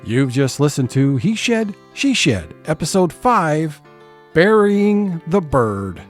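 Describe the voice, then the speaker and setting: A man hosting an audio theatre show, reading scripted closing credits in a polished, measured announcer manner. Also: a little high, sing-song. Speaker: host, announcer. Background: music.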